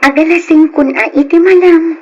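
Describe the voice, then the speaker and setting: A woman's voice: extremely high pitch, fairly even intonation, normal speed, darkish tone, muffled recording. Woman acting caring, fussing mother in radio drama.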